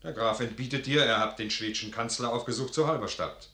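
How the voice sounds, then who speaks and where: A roomy, sharp-voiced impatient nobleman. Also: medium pitch, fast talker. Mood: reproachful. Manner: pointed, reproachful delivery. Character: impatient, distrustful noble confidant reproaching his commander. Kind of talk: 1950s German radio drama acting, classical verse. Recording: roomy 1955 radio studio recording.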